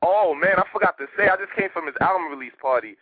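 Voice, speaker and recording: A man from Haiti, a rapper guest talking casually in a radio interview, animated and streetwise. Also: high voice, slightly rough, no echo. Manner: animated, streetwise, rapid talk with repeated "you know what I mean". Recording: tinny phone line, dry.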